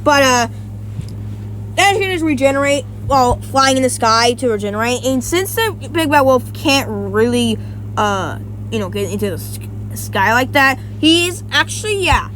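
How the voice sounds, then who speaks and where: A woman's voice: high-pitched, expressive, normal speed, a bit bright, slight background noise, low-quality recording. A woman giving casual versus-battle commentary, rambling with many restarts and self-corrections and some casual swearing. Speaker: YouTuber.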